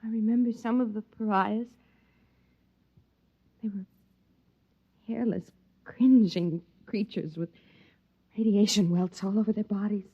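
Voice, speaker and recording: An American actress playing a timid, wistful young wife in a sci-fi radio drama, speaking softly, hesitantly and breathily. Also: female voice, medium pitch. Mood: haunted, pitying. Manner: soft, hesitant, dreamy, breathy. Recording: muffled vintage radio recording, music behind.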